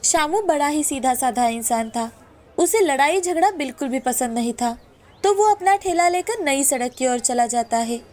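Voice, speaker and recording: A woman's voice: high-pitched, lively intonation, normal speed, a little mellow. A woman narrator reading a scripted moral tale in storytelling style.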